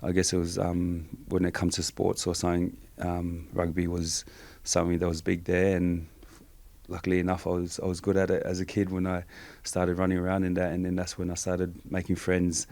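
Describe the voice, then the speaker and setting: Flat, deep-voiced New man. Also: a little fast, vocal fry, no echo. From Samoa. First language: Samoan. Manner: soft-spoken, hesitant, many fillers. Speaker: guest. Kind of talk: reflective interview answers.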